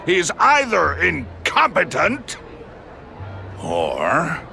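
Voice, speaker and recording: A pompous, gravelly middle-aged tycoon. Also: male voice, fairly high pitch, huge pitch swings, sharp tone, soft background music, heavily acted. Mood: angry, contemptuous. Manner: measured, grandiose, emphatic. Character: pompous, menacing crime-fighting tycoon, easily angered. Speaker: video game character actor. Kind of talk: dramatic game dialogue.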